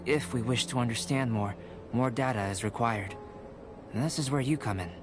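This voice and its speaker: A man playing a calm, formal young alchemist. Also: mid-range voice, natural voice. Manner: measured, formal, softly explaining. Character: calm young male alchemist scholar, composed. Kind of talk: video game dialogue. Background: game music.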